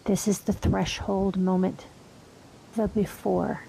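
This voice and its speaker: Woman meditation guide, slow and soft-spoken, mellow tone with a little vocal fry, long pauses. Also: mid-range voice, level tone, a little hiss or hum, low-quality recording.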